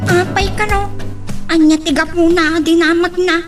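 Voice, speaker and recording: A woman's voice: very high voice, fairly even intonation, moderate pace, soft background music. A woman with a Filipino accent playing a concerned, gossipy member of a household in an audio drama, fretful and conversational. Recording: distant from the microphone.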